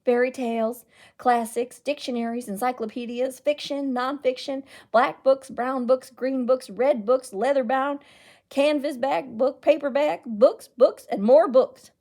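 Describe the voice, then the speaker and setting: A woman reading a picture book aloud as a storyteller, with expressive, emphatic delivery. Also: a little high, measured pace. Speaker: children's librarian storyteller.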